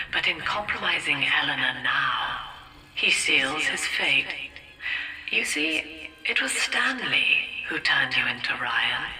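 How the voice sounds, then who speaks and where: A commanding, vengeful cult leader. She is formal and imperious, with a piercing, animated voice that echoes from a distance, as video game dialogue. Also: low voice, heavily acted. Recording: echoing, distant loudspeaker sound.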